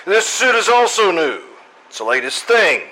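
A man's voice: high voice, big pitch swings, normal speed, bright, forceful tone, full character voice, low-quality recording. A man playing a pompous, fussy middle-aged school principal and father in an old-time radio comedy. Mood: pompous, self-pleased. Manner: pompous, formal.

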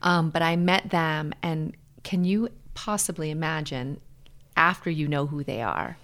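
A middle-aged American woman speaking earnestly and in a measured way as a guest in a reflective personal interview. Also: sits low, fairly bright tone. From Hawaii, USA.

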